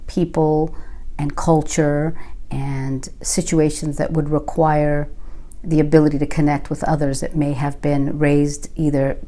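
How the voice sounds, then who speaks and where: A woman in her fifties speaks low and with a gravelly edge, her tone dark and mellow. She grew up speaking Sicilian and talks in a measured, reflective way. It is a home interview in a small room with a bookshelf, recorded on a camera nearby. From California. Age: 50s. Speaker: oral history interviewee. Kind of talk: recorded oral history interview.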